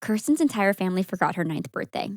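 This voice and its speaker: A young woman co-hosting a podcast, telling a story in a casual, animated way with frequent laughs. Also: medium pitch, quick pace, no room sound, studio quality. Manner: animated, laughs often, acts out quoted voices.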